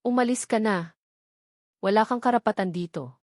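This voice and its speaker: A woman's voice: medium pitch, normal speed, no echo, close to an everyday voice. Casting: female narrator as a guarded, defiant mother, icy and formal, in a dramatic audio story, very dry sound. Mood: firm, angry. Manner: cold, formal, firm. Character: cold, defiant single mother guarding her land.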